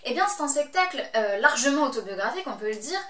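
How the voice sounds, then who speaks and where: A woman's voice: sits high, animated, fast, pushed and bright, echoey room. A woman with a Belgian French accent reviewing a comedy show in a playful, teasing vlog, talking straight to the viewer. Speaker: vlog host reviewing shows.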